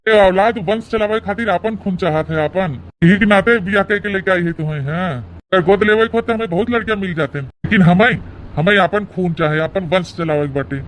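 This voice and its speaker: A man's voice: high voice, fairly quick, a little hiss or hum, close to an everyday voice. A man with an Awadhi accent voicing a callous elderly husband who lectures overbearingly in a comic cartoon.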